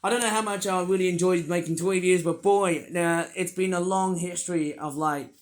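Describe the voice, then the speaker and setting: A man reviewing toys in a rambling, playful vlog, his voice not fully well and breaking into sudden excited outbursts. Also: high voice, brisk. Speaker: toy reviewer. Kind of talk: casual toy review vlog.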